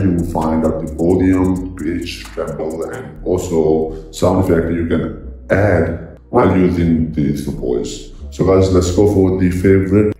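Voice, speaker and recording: A man voicing a deep video game ice king through an echoing voice changer in a tutorial voice-over. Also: warm tone. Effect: voice changer with echo. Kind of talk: screen-recorded tutorial voice-over. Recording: heavily echoing, distant processed sound.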